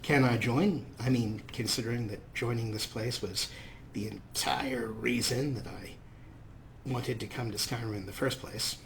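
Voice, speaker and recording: A man's voice: medium pitch, a little slow, gravelly. Playful male gamer with faint background noise. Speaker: Let's Play host. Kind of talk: casual game commentary with in-character replies.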